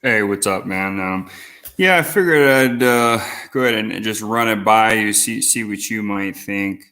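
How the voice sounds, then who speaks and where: A man's voice: lowish voice, measured pace, pushed and bright. A man in his mid-thirties calling in to a livestream with a crude, hesitant question, padded with fillers. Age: 30s. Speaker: caller. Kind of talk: casual call-in question.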